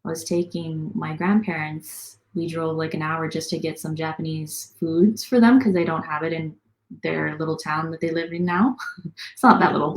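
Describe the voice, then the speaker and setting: A woman's voice: deep voice, rough recording. A young woman talking casually as a guest in an online interview, upbeat and chatty with lots of fillers. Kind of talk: casual video call interview.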